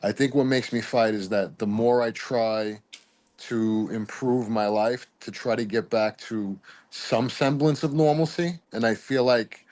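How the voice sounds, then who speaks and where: A man's voice: on the low side, darkish tone. A man in a casual group chat, speaking in a thoughtful, earnest way.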